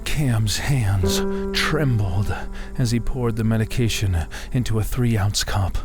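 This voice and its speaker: Slow-paced man reading a dramatic story. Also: lowish voice, over loud music. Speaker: narrator and audio drama actor. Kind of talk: scripted dramatized audiobook narration.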